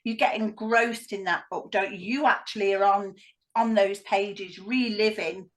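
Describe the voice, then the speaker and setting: A woman's voice: mid-range voice, steady tone, a little slow. Earnest woman explaining her view. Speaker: hypnotherapist. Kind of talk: podcast conversation.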